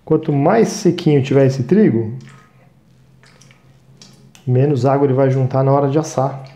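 A man in his thirties with a Brazilian accent explains a recipe in a chatty, viewer-friendly way. His voice is mellow and relaxed, and he is picked up by a lapel mic in a small, echoey studio kitchen. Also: medium pitch, moderate pace. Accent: Brazilian Portuguese. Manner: chatty, talks to viewers as "gente", frequent "tá?" checks. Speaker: cooking show host. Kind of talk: cooking demonstration.

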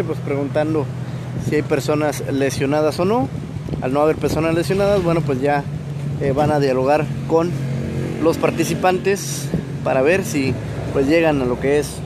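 A man gives a live, filler-heavy street report on a crash, filmed on a phone outdoors. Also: a little high. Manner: informal reporting with many fillers. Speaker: local news reporter. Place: busy city street outdoors. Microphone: phone camera, reporter off screen. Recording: outdoor street with traffic noise.

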